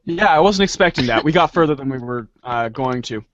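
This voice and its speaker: A man's voice: mid-range voice, lively intonation, fairly quick, a bit bright, muffled recording. A man hosting a podcast sign-off in a casual, playfully theatrical showman's manner. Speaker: host and cast member.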